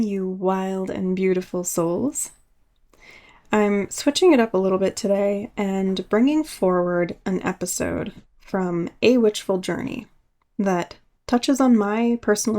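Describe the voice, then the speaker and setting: A woman's voice: medium pitch, darkish tone, no room sound. Woman podcaster telling her own creepy stories to listeners. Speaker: podcast host. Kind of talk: personal spooky storytelling podcast.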